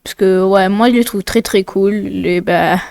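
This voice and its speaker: A woman's voice: mid-range voice, unhurried, creaky, a little mellow, dry recording. A woman with a slightly congested nose narrating a casual explainer video in an informal, rambling way full of asides. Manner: informal, rambling, often digressing.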